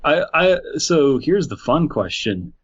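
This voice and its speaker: A man's voice: on the high side, very animated, takes it slowly, slightly rough. A man co-hosting a podcast in casual chat, joking and sarcastic, often laughing. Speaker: podcast co-host. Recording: dull lo-fi sound.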